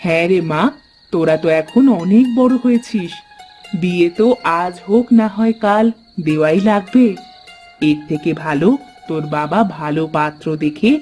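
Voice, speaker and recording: A woman playing an aging, reassuring village mother in an animated folktale, with a coaxing, motherly manner. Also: fairly low pitch, normal speed, faint music behind, natural voice.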